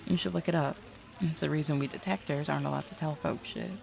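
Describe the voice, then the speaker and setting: A woman's voice: low-pitched, steady tone, fast talker, creaky, darkish tone, a little hiss or hum. A woman playing a confident, streetwise supernatural detective in an audio roleplay, drawling folksy slang in a teasing way. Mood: blunt, knowing.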